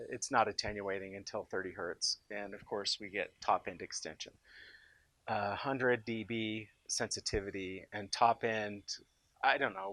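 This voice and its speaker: An American man presents a product in an informal, unscripted way. His voice sits on the low side, and he is enthusiastic, leaning on emphatic praise. The sound is dry, with very little room echo. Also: fairly bright tone. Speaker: company founder presenting his loudspeaker in a product demo.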